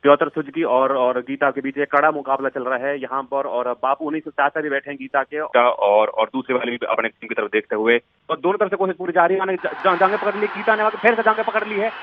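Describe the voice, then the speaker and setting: Man, raised pitch, halting repetitive commentary, dull dry phone sound. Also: steady tone, no room sound. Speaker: amateur wrestling commentator. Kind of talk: improvised sports commentary. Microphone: telephone.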